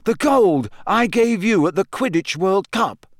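A man's voice: high-pitched, lively intonation, measured pace, no room sound, voice pushed up. A sulky, touchy teenage boy, as a male narrator puts him on, his voice lifted well above the reader's own. He sounds resentful, and the recording is dry and close.

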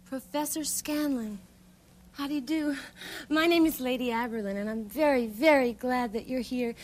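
High-voiced, gentle, polite lady. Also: slow. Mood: polite, eager. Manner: patient, courteous, hesitant, repeats what she hears. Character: gentle, polite, welcoming lady of a make-believe land. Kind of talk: children's television acting.

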